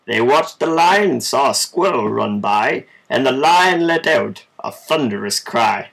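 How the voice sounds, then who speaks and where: Slow, gravelly man reading a story. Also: medium pitch, animated, fairly bright tone. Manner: informal, measured amateur reading. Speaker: storybook reader. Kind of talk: reading a children's story aloud.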